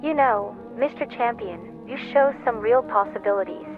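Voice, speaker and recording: A woman's voice: fairly high pitch, normal speed, natural voice. A woman acting a flirty heroine on tinny radio. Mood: flirtatious, playful. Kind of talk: old-time radio drama acting. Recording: tinny phone-like radio, music behind.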